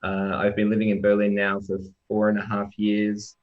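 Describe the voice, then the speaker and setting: Aussie guy with a really low voice, laid back chatty talk, dull muffled call audio. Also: moderate pace. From Australia. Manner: relaxed, chatty, with frequent ums and restarts. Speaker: software developer presenting. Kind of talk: casual presentation on a live-stream.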